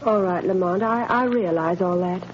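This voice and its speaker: An actress playing a worried, pleading companion in a 1930s radio drama. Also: female voice, fairly low pitch, normal speed, slight background noise, lightly acted. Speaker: lead's companion. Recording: muffled 1930s radio broadcast.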